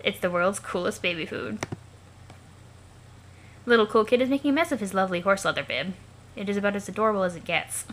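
A woman's voice: medium pitch, brisk, pauses often, a little hiss or hum, natural voice. A woman voicing a wry narrator who fondly observes an alien child in mock-formal, lilting storybook style. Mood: amused, fond.

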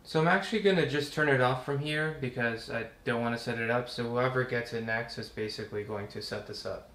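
A young man hosting a casual, relaxed tutorial, chatting as he works through steps in a living room. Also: medium pitch, brisk. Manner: relaxed, chatty, talks while working. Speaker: tutorial host. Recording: roomy home recording with faint hum.

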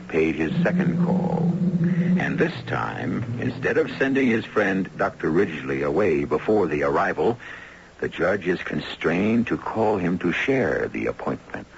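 Very deep male radio mystery host. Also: gravelly. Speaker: host and narrator. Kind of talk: scripted 1970s radio mystery narration. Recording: noisy, dull 1970s radio recording.

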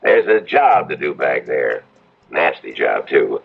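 A folksy American Western townsman for a radio drama. His voice is rock-bottom low and full of creak, with a plainspoken, cautious drawl. The old broadcast sound is muffled. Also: very animated, unhurried, heavily acted. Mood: grave. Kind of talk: 1970s radio drama acting.

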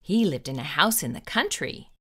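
A middle-aged woman hosting children's storytime, reading a picture book aloud in a theatrical, deliberate, emphatic way. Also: sits low, big pitch swings, pushed and bright, breathy edge. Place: small indoor room with bookshelves.

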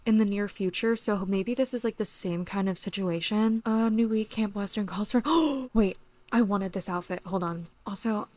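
Young woman, hoarse from losing her voice, excitable casual gaming chatter, desk mic at home. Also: mid-range voice, moderate pace. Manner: chatty, excitable, lots of "like" and "literally". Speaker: gaming YouTuber. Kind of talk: casual gameplay commentary.

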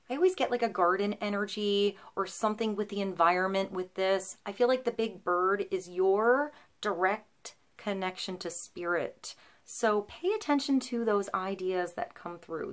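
A woman in her thirties reads tarot cards straight to camera in a small indoor room. She talks in a flowing, reassuring way, full of little ums and likes, and her pitch sits right in the middle for a woman. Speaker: tarot reader. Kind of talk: intuitive tarot reading to camera. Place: small indoor room, seated before a painted wooden backdrop.

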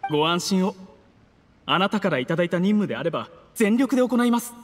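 A man's voice: sits high, sing-song, fast, long reverb tail, soft background music. A man voicing a timid, deferential male poisoner in an anime video game, humble and polite.

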